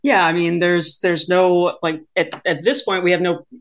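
A woman interviewing in a conversational style, with thoughtful, halting phrasing. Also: fairly low pitch, steady tone, lo-fi sound. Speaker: podcast interviewer.

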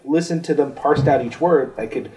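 A man's voice: medium pitch, moderate pace, darkish tone. A man co-hosting a podcast in casual banter, rambling and self-deprecating, with lots of uh and like. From United States. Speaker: podcast co-host.

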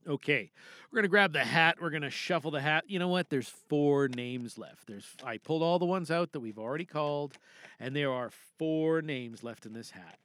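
A man's voice: a little high, normal speed, dry recording. A man hosting a podcast in a chatty, theatrical way, with rambling casual asides. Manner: animated, theatrical announcing mixed with rambling asides. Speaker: podcast host. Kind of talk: casual, chatty show introduction.

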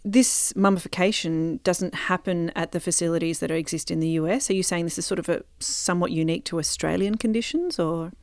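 A woman's voice: lowish voice, brisk, hardly any pauses. A woman hosting a podcast, explaining things informally and speaking straight to listeners between interview questions. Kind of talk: conversational narration and interview.

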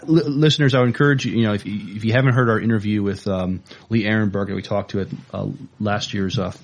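A man co-hosting a podcast, chatting casually about movie and DVD news in a hesitant, halting way. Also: fairly low pitch, fairly even intonation. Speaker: podcast co-host.

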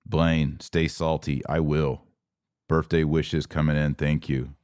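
A man's voice: rumbling low, little pitch movement, takes it slowly, a little mellow, clean tone, no echo, clean studio sound. A forty-five-year-old man hosting a casual livestream talk, answering viewer comments in a blunt, opinionated, mock-grumpy manner. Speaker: podcast host.

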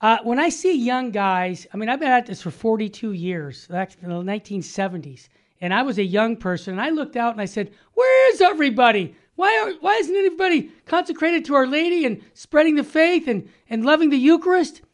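A man's voice: extremely high pitch, animated, fairly quick. An older man co-hosting a radio talk show, upbeat and emphatic, delivering punchy slogans and promos.

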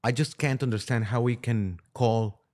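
A man's voice: medium pitch, fairly even intonation, a little mellow. A man whose native language is Spanish, speaking hesitantly as a priest guest in a conversational podcast. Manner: hesitant, halting. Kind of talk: conversational commentary.

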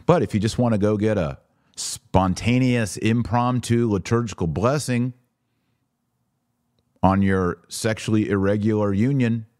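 Deep-voiced man, sarcastic commentator. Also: measured pace, darkish tone, no room sound, studio quality. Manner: sarcastic, emphatic. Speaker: podcast host. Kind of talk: commentary with document read aloud.

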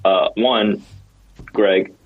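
Husky low-voiced man on a muffled call. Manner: relaxed, friendly, halting restarts. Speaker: remote podcast guest. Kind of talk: casual chat.

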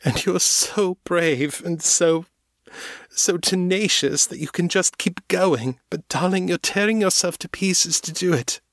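A man voicing a gentle, devoted undead woman who tenderly calls her friend darling. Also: on the low side, measured pace, husky, no room sound. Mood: tearful. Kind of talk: audiobook character voice.

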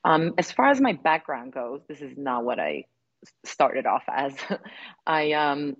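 A woman from Serbia in her forties speaks in a low register and reflects on her path, pausing often with fillers and restarting her sentences. The recording is dry and a little muffled. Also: very animated, husky, dry recording. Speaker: women's relationship coach. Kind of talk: conversational interview.

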